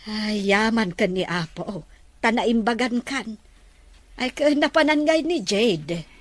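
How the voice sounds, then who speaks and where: A woman with an Ilocano Filipino accent playing a pious, caring older mother who gives gentle advice in a family drama. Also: on the high side, lively intonation, takes it slowly, dry recording. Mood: relieved. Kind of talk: acted family drama dialogue.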